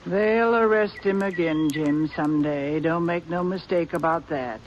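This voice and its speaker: An actor doing a grim old granny's slow warning. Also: female voice, lowish voice, lo-fi sound, slight background noise, close to an everyday voice. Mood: ominous. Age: older. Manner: slow foreboding drawl. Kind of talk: 1950s radio drama acting.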